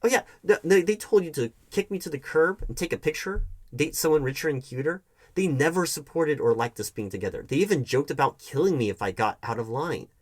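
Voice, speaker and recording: A man playing a hurt, defensive hiring manager in an audio roleplay, talking to his ex in a halting, sarcastic way. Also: fairly high pitch, animated. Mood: hurt, indignant. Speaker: roleplay performer. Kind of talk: one-sided audio roleplay acting.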